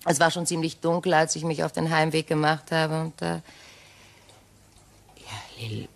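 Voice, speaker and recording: Low, slow-spoken ageing widow. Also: female voice, fairly even intonation, creaky, a little hiss or hum. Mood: shaken, hesitant. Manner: halting, dramatic pauses. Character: shaken, ageing English widow, wistful and rattled. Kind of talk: German radio crime drama acting.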